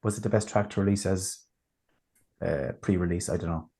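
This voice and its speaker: A man hosting a casual music review chat, talking in a relaxed, chatty way with plenty of fillers. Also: low voice, brisk. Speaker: podcast host.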